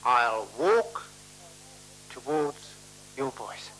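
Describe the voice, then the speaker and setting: An actor playing a timid, literal-minded man in a 1970s radio play, speaking in halting, careful, formal phrases and repeating himself. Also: medium pitch, sing-song, a bit bright, full character voice. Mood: cautious, deliberate. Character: timid, literal-minded, polite man sharing a dark lodging room. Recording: noisy, humming 1976 radio recording.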